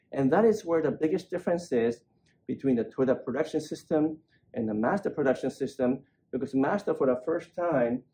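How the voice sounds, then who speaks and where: A man's voice: mid-range voice, mellow, slight background noise. Earnest older man explaining at a whiteboard. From Japan. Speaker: automotive engineer and channel host. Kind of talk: explainer talk to camera. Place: outdoors on a leafy street, then indoors at a whiteboard. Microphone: lapel mic.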